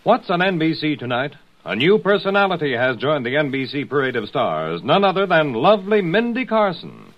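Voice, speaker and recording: A man's voice: fairly high pitch, animated, normal speed, slight background noise. A man announcing a network promo in mid-century radio style. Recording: muffled vintage radio sound.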